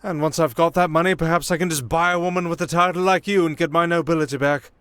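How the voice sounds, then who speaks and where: A man putting on a pompous, high-pitched aristocrat. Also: fairly even intonation, fast, fairly bright tone, smooth, no room sound, close to an everyday voice. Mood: smug, haughty. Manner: haughty, mocking, theatrical. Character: pompous, sneering, drunken young disgraced male aristocrat. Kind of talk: roleplay audio drama.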